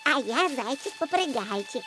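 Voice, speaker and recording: A small, jumpy hare from a cartoon. The high voice is hushed and nervous, hurrying through phrases over music. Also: female voice, lively intonation, moderate pace. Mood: eager. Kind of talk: cartoon voice acting.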